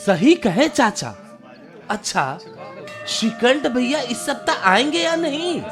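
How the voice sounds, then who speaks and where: A man's voice: very high voice, very animated, takes it slowly, music in the background, exaggerated voice. An actor playing a chatty, admiring young village man in a radio drama, talking in a respectful, familiar way. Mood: eager, respectful. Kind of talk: Hindi radio drama acting.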